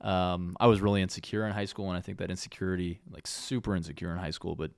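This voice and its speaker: A man's voice: sits low, fairly even intonation, fast, gravelly, clear, resonant tone, no room sound, studio quality. A young man co-hosting a casual podcast who agrees readily and tells stories in an easygoing way. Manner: easygoing, agreeable, with many yeahs. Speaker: podcast co-host. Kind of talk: casual conversation.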